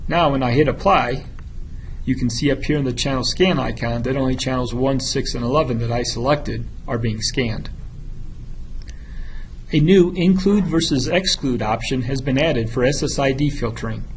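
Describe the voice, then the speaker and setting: A man giving a methodical, instructional narration of a software tutorial, as a product demonstrator. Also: mid-range voice, moderate pace, slight background noise, poor audio.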